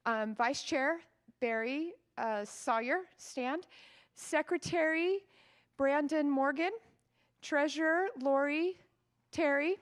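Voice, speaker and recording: A high-pitched woman with an American accent hosts an event in a hall. Her voice is big and chatty, and she runs through introductions with a casual, friendly bounce. She talks into a mic at a podium. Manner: loud, chatty, laughs between introductions. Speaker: county party chair hosting a debate.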